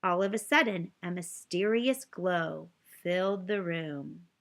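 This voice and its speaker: Slow-paced woman reading a children's storybook. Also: on the low side, creaky. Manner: expressive, addressing young listeners with questions. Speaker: storybook reader. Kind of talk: children's read-aloud narration.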